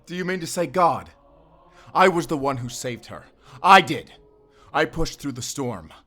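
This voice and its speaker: An actor playing a weary, guarded werewolf hunter in an audio drama, terse, stern and defiant. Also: male voice, fairly high pitch, lively intonation, measured pace, bright, forceful tone, faint music behind, full character voice. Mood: fierce, defiant. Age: 30s.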